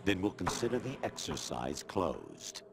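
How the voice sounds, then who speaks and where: An actor playing a sinister artificial intelligence that poses as a commanding older man, smug and coldly deliberate, in a video game. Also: deep voice, huge pitch swings, background noise, exaggerated voice. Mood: mocking. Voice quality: gravelly. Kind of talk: dramatic video game acting. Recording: loud music behind the voice.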